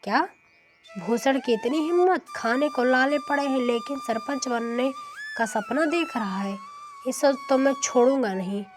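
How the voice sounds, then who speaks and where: A menacing village headman played by a woman in a cartoon moral tale. He seethes with contempt and threat, the voice fairly high, music playing behind. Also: a little slow. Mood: outraged. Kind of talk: Hindi cartoon moral story.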